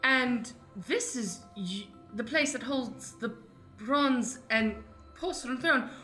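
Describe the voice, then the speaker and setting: A woman performs a melodramatic noble maiden in a comic radio play. The high, animated voice uses theatrical mock-archaic phrasing and sounds roomy, with faint music behind. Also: takes it slowly, exaggerated voice. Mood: earnest, bemused. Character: melodramatic noble maiden, earnest and distressed quester. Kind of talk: improvised comedy radio play.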